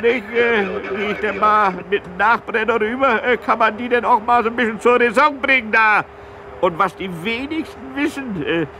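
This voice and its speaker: An actor playing a petty, vengeful small-town fighter pilot in a radio comedy, rambling hesitantly and folksily with tag questions. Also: male voice, high-pitched, natural voice. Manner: rambling, hesitant, folksy, tacking question tags onto sentences. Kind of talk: satirical radio comedy sketch. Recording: loud vehicle noise around the voice.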